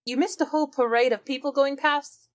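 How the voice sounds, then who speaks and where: A woman's voice: high-pitched, steady tone, fairly quick. A woman improvising casually in character as a tabletop game player. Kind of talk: casual improvised role-play.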